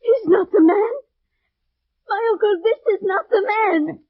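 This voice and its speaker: Desperate young highborn girl, really high voice, formal n melodramatic, dull dry old radio recording. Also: measured pace, dry recording. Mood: tearful pleading. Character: desperate, proud young noblewoman, pleading niece. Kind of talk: 1940s-1950s radio drama acting.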